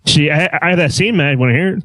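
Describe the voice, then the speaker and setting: A man's voice: a little high, fast, sharp tone, dry recording, studio quality. A man chiming in with casual banter on a podcast. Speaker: podcast co-host.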